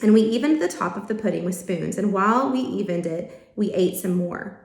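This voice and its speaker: A woman narrates a children's storybook as a read-aloud host, expressive in delivery. Her voice has a creaky edge and an ordinary mid pitch, and the room echoes around her. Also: normal speed. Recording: roomy, echoing home-style recording.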